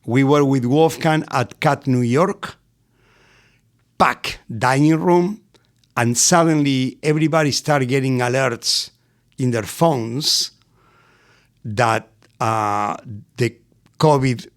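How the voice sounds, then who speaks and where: A middle-aged man from Argentina with an Argentinian accent, a guest in an interview who tells lively stories and laughs often. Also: mid-range voice, slow, pauses often. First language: Spanish. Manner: animated, laughs often, acts out voices in anecdotes. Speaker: restaurant executive guest. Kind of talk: storytelling interview.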